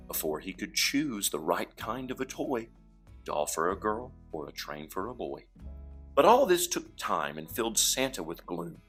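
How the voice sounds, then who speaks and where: A man reading rhyming verse aloud for children as an expressive, dramatic storyteller. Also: sits low, breathy edge. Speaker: storybook reader.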